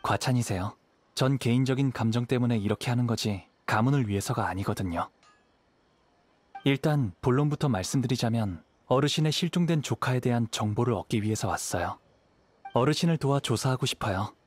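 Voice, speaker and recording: An actor playing a calm, polite young wandering samurai in a video game, speaking in a courteous, thoughtful way. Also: male voice, medium pitch, a little fast, natural voice. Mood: composed, serious. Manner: courteous, composed, explanatory. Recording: clear background music.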